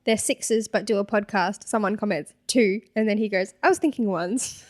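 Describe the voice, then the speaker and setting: A woman's voice: mid-range voice, moderate pace. A young woman with an Australian accent co-hosting a podcast, reading comments aloud with sarcastic asides and frequent laughter. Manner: giggly, sarcastic, laughs often. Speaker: podcast co-host.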